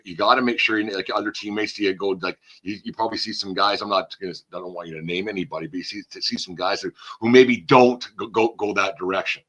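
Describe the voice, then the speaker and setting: A man's voice: lowish voice, pushed and bright, slightly rough, poor audio. A man hosting a casual sports interview in a folksy, rambling way, repeating words as he thinks. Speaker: podcast host, interviewer.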